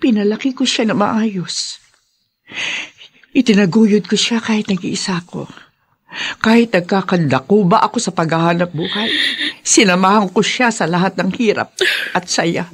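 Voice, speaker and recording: Actress as older filipino mother-in-law, husky voice, hurt and stern, reproachful radio drama style. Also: mid-range voice, normal speed, lightly acted. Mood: pained, earnest. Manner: reproachful, emotional, sharp-tongued.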